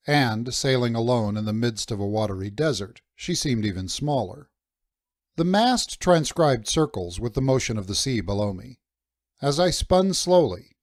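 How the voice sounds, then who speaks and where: Male narrator for first-person adventure fiction. Medium pitch, measured dramatic storytelling, dry room-free sound. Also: no room sound. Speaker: audiobook narrator.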